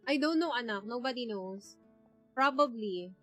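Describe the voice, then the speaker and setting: High-voiced Filipino woman streaming. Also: animated, normal speed, fairly bright tone. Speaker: game livestreamer. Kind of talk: casual livestream chat, mixing English and Tagalog. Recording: game music behind the voice. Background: video game music.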